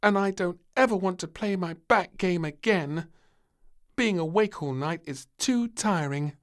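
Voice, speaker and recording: A man's voice: high-pitched, a little slow, fairly bright tone, voice pushed up. A man voicing an indignant, stubborn little princess, a whiny and demanding small girl in a storybook. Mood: despairing.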